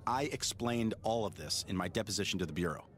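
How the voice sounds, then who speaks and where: An actor as a low-voiced, embittered investigator. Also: male voice, fast, a crowd in the background, lightly acted. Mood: weary, guarded. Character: embittered, hot-tempered, weary suspended male investigator. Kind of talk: dramatic video game acting. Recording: music behind the voice.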